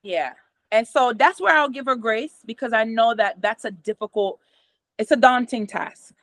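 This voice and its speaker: A middle-aged woman with a patois accent talks on a video call from a small room at home, her fairly high voice sounding dry and close. She explains things in a steady, teaching way, with patois as her first language showing through her English. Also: moderate pace, dry recording. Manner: explanatory, steady, gestures a lot with her hands. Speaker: host. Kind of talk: casual conversation explaining therapy methods. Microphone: video call from a computer camera.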